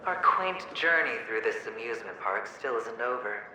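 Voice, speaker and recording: A man voicing a smug, teasing young man in a video game cutscene, speaking in a sardonic, deliberate way. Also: mid-range voice, animated, pushed and bright. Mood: taunting. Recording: very echoey game audio with ambient noise.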